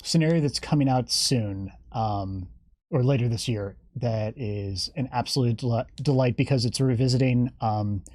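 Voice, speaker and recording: A man speaking as a guest game developer in a casual interview, animated and jokey, thinking aloud and slipping into imagined dialogue. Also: medium pitch, moderate pace, no room sound, clean studio sound. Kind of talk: lively casual interview chat.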